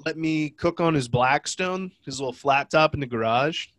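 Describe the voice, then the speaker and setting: A man's voice: fairly high pitch, normal speed, fairly bright tone, slight background noise. A young man from Ohio with a cold that makes him sound nasal and stuffy, co-hosting a podcast in loose, rambling, joking chat. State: congested from a cold. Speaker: podcast co-host. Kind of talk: casual chat.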